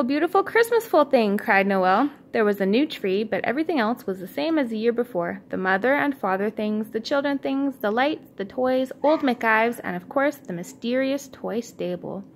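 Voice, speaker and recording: Woman, fairly high voice, parent's storybook read-aloud, homemade recording, some noise behind. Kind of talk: read-aloud children's storytelling with casual asides.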